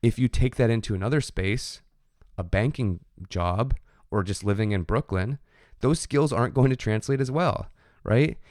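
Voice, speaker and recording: A man's voice: medium pitch, moderate pace, a little mellow, no echo, studio quality. A man teaching a sociology class in a conversational lecture, often checking in with "right?". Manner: conversational, frequent "right?" tag questions. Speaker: sociology instructor. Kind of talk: recorded lecture.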